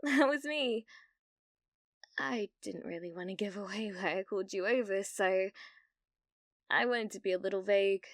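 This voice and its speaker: A British woman playing a needy, awkward young gamer in an ASMR roleplay, with a halting, nervous manner. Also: mid-range voice, no room sound, studio quality, close to an everyday voice. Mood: flustered, eager. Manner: halting, flustered, breathy laughs and hesitations.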